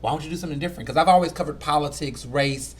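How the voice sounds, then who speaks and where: A man in his thirties from Houston with a light Southern accent, chatting as a guest about his work as a food editor, animated and full of "you know". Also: on the high side, racing. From Houston, Texas. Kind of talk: casual conversation.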